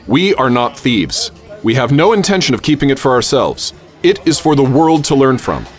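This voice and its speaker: An actor playing an adventurous, wary gentleman professor, telling his exploits in measured, literary radio theater narration. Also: medium pitch, big pitch swings, lightly acted. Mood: defiant. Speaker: narrator and lead character. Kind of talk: radio theater, dramatic first-person narration. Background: music.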